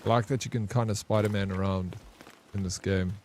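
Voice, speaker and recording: A young man casually commenting on his gameplay as a streamer, with a flat, monotone delivery. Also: lowish voice, fairly quick.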